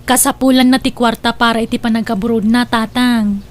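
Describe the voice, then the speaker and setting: Money-minded family matriarch for an audio drama, high voice, calculating played by a woman. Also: steady tone, a little fast, lightly acted. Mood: calculating, insistent. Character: scheming, money-minded mother of grown children. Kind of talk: Ilocano radio-style family drama acting.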